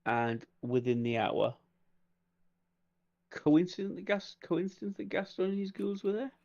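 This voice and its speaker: A man's voice: high-pitched, animated, unhurried, creaky, darkish tone, dull audio. A man playing a tabletop game, taking charge and proposing plans in casual chat.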